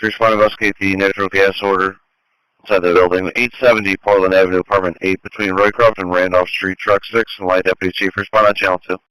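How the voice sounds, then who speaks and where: A man's voice: low voice, little pitch movement, fast, sharp tone, clear, resonant tone, dry recording, poor audio. A man working as a fire dispatcher, making formal, procedural announcements over two-way radio. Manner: procedural, repeats addresses and units. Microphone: dispatch radio microphone. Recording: dry fire scanner radio audio.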